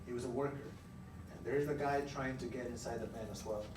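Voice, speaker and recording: A man's voice: medium pitch, fairly even intonation, a little fast, distant mic. A man teaching young pupils, reading a storybook aloud expressively and asking questions in an encouraging, emphatic way. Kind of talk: interactive read-aloud, storytelling and questioning children. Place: indoor classroom. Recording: roomy, noisy classroom, recorded from afar.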